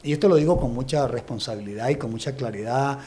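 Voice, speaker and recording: A man's voice: medium pitch, fast talker. A man born in Venezuela giving emphatic political commentary as an interview guest, explaining at length and stacking up his points. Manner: forceful, explanatory, builds lists of accusations. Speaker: guest analyst.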